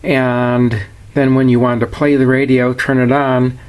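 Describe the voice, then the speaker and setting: A man's voice: medium pitch, flat delivery, unhurried, clean tone. A man giving a casual, plainspoken product walkthrough in a matter-of-fact way, like a seller showing an item. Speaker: seller demonstrating a vintage radio.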